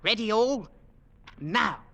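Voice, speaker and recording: A cocky young Cockney army fifer in a vintage radio play. The voice swings widely in pitch, scornful and needling, full of brash slang. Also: expressive, takes it slowly, vocal fry, bright, forceful tone, no room sound, exaggerated voice. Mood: brisk, bossy. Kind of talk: old-time radio drama acting. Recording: vintage radio broadcast recording.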